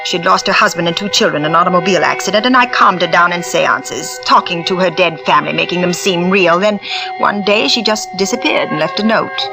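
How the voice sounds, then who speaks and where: A woman's voice: fairly low pitch, fairly quick, natural voice, poor audio. An actress playing a proud, scheming spiritualist woman in a 1940s radio drama, talking in an intense, theatrical way. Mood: confessing. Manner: intense, theatrical, rising to screaming hysteria. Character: scheming woman medium, proud, cornered into terror. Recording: vintage radio recording with music.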